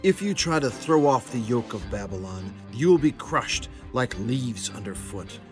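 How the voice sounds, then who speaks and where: Man playing stern prophet, solemn declamation, animated pitch, children's Bible drama, music behind. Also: unhurried, close to an everyday voice. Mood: urgent, solemn. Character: stern, impassioned male prophet warning his people.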